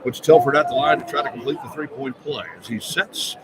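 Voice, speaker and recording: A man calling live basketball play-by-play on the radio in clipped, excitable running phrases. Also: mid-range voice, fairly quick. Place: courtside in a basketball arena. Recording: loud arena crowd noise. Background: roaring crowd, loud gym noise.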